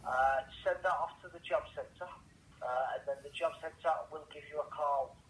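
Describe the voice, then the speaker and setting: A man's voice: on the high side, moderate pace. A man working as a helpline call handler, heard over a telephone speaker, politely and courteously explaining procedure and addressing the caller as sir. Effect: telephone through a speaker. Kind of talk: polite customer service phone talk. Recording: echoey, noisy phone call on speaker.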